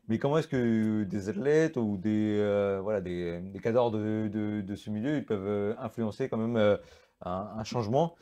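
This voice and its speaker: Low-voiced male talk-show host. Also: warm tone. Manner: halting, many fillers and restarts in long questions.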